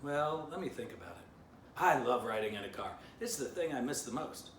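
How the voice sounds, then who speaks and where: Man voicing a naive, hopeful dog, very lively pitch. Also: a little fast, close to an everyday voice. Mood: pondering. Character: naive, hopeful male dog proposing plans. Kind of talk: children's storybook character voice. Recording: distant mic, roomy, noisy.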